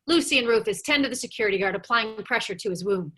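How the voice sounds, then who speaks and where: Bright-voiced woman narrating a screenplay. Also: fairly high pitch, fast talker, breathy edge. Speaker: narrator reading stage directions. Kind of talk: scripted screenplay narration for a table read.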